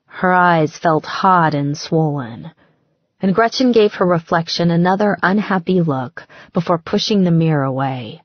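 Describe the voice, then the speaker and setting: Low, husky woman narrating romance fiction. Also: measured pace, no echo, dull audio. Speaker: audiobook narrator.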